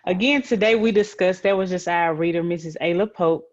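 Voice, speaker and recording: A woman with a moderately low voice hosts a virtual session for children. She talks in a conversational, encouraging way. The sound is a little dull and muffled, like online meeting audio. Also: a little fast. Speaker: session host. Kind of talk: informal encouraging talk to children.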